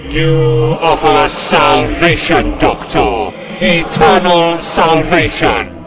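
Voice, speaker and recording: A man playing a menacing, emotionless machine conqueror in a sci-fi audio drama, with slow, measured, literal pronouncements. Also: fairly high pitch, poor audio. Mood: cold, grandiose. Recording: loud music underneath. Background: music.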